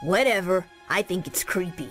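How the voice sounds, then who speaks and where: A woman voicing a brash, cheeky, impatient young boy hero in an animated video game, exclamatory and grumbly. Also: on the low side, normal speed, natural voice. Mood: grumbling. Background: music.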